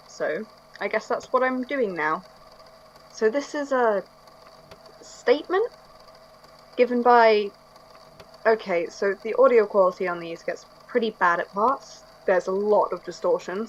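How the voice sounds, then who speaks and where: A woman's voice: mid-range voice, close to an everyday voice. A woman playing a casual, slightly wary archivist, hesitant and conversational, introducing a tape in an audio drama. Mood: apologetic, matter-of-fact. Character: casual, mildly wary female archivist recording onto tape. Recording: muffled, faintly noisy tape sound.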